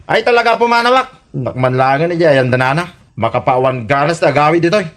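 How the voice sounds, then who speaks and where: A man's voice: fairly high pitch, lively intonation, fairly quick, fairly bright tone. A man playing a boastful, defensive philandering husband in an Ilocano radio comedy drama, exclaiming and protesting dismissively.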